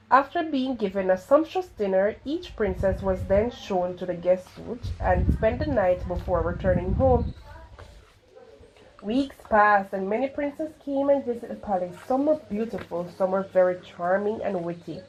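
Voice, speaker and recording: A woman reading a children's story aloud in a careful, expressive storytelling manner, with slight stumbles. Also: medium pitch, dull audio. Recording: clearly noisy home recording.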